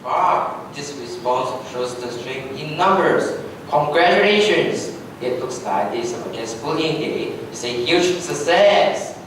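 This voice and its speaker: A man's voice: on the high side, expressive, slow, fairly bright tone, a little hiss or hum. A man playing an approving, congratulatory school staff member in a stage reading, recorded distantly in an echoey room.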